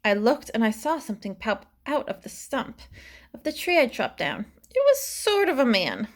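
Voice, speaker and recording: A woman reading a rhyming children's story aloud with expressive, dramatic phrasing. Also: fairly high pitch, normal speed. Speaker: storybook reader.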